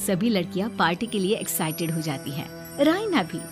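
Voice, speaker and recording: A woman narrating a scripted story in an expressive storytelling manner. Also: fairly low pitch, moderate pace, soft background music.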